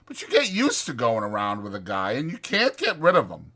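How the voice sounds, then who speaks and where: A man voicing an exasperated but protective ranch hand in a dramatized audiobook, blunt and scolding, with a rough folksy drawl. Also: mid-range voice, wildly swooping pitch, brisk, bright, forceful tone, full character voice. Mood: exasperated, wary. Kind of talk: dramatized audiobook character acting.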